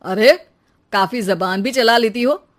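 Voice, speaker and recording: A woman playing an arrogant, high-voiced young husband. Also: unhurried, natural voice. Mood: stern, scornful. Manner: scornful, bossy. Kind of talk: acted moral-story dialogue.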